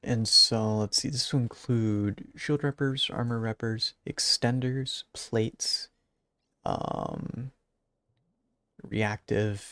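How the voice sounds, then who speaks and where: Quiet, gravelly-voiced late-night gamer man. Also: fairly low pitch, dry recording. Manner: quiet, rambling with fillers and restarts. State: raspy and congested, late at night. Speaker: gaming YouTuber. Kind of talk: casual gameplay commentary.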